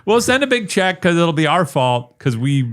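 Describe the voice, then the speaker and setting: A man co-hosting a comedy podcast, musing and joking in casual banter, quick to laugh. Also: fairly high pitch, very animated. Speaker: co-host.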